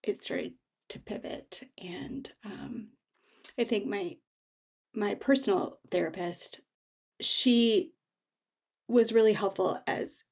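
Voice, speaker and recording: A woman speaking reflectively as an interview guest, halting and thoughtful as she searches for her words. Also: mid-range voice, creaky, darkish tone, breathy edge. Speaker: author, guest.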